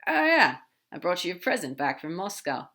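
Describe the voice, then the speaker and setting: A sly male demon with a lowish, easygoing voice, read out by a woman. He talks with a loose, casual drawl, fond and teasing in this storybook reading. Nothing about him is showy. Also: very animated, normal speed, pushed and bright, heavily acted.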